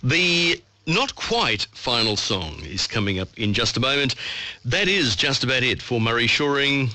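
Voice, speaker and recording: A man's voice: medium pitch, sing-song, measured pace, pushed and bright. An Australian man presenting live on radio from a studio. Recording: AM radio broadcast picked up online.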